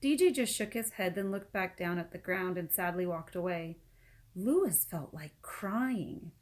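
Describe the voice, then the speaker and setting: A woman reading a children's book aloud as an expressive storyteller. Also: on the low side, unhurried. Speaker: storybook reader.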